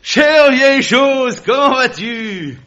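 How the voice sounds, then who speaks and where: A boisterous fur trader. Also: male voice, very high-pitched, expressive, takes it slowly, bright, forceful tone, full character voice. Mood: jovial. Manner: boisterous, chummy, laughs often. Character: jovial bearded male fur trader, old college friend. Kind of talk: 1950s French radio drama acting. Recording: dull lo-fi old radio recording.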